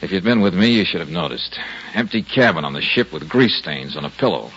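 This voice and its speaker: A confident, wry private eye in a fifties radio mystery. His delivery swings widely and brightly, brisk and full of wisecracks, on a dull old recording with faint noise. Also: low voice, animated. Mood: knowing. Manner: brisk, wisecracking, self-assured. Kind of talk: 1950s radio detective drama acting.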